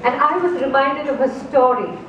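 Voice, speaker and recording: A woman from India, telling a story slowly and expressively to a live audience and asking them questions. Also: high voice, muffled recording. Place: festival opening ceremony stage. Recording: echoey hall, distant mic, background noise.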